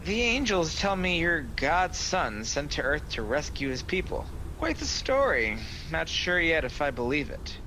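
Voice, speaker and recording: A man performs a proud, sardonic devil in a radio play. His voice is fairly high and bright for a man, swinging theatrically as he tempts and taunts, over faint noise from a home recording. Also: big pitch swings, a little slow, hardly any pauses, heavily acted. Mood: mocking. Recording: noisy home video-call recording.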